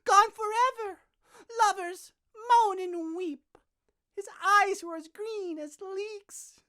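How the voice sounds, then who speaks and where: A woman's voice: very high-pitched, sharp tone, exaggerated voice. A woman playing an overwrought, grieving young female lover in a Shakespeare audio drama, wailing and drawing out the words. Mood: melodramatic despair. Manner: overwrought, wailing, drawn-out delivery. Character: amateur player as Thisbe, melodramatic young female lover in mourning.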